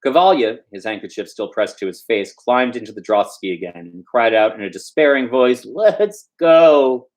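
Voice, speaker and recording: A man's voice: lowish voice, fairly quick, few pauses. A man reading a story aloud informally as host, stumbling over words now and then and slipping in loose asides.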